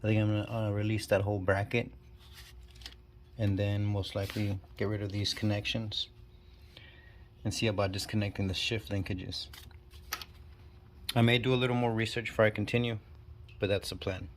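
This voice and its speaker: A man with a stuffy, nasal cold talking casually and haltingly while working on a car in his garage. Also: fairly low pitch, little pitch movement, a little hiss or hum. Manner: talks while working, halting and self-deprecating. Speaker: channel host. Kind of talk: casual DIY garage walkthrough. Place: home garage.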